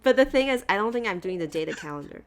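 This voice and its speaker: A woman's voice: medium pitch, quick pace. A woman whose first language is Chinese, co-hosting a casual chat and laughing often. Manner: giggly, animated, excitable. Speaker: podcast co-host.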